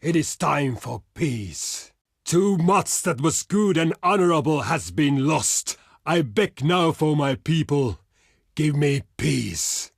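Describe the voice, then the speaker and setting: A proud barbarian chieftain for a video game, pitched high for a male and slow in delivery. He declaims in blunt, archaic phrases with a warrior's hot temper. The sound is dry and close. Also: animated, creaky, no room sound, full character voice. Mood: grave, pleading. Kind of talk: theatrical video game character voice lines.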